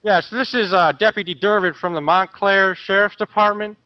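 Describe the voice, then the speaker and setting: A bossy sheriff's deputy, played by a man who calls in with a high, officious voice. He lectures the host with stiff authority. The line is dry and close, with a dull, muffled tone. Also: steady tone, normal speed, no room sound, close to an everyday voice. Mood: stern. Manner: officious, scolding. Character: stern male sheriff's deputy. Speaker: caller.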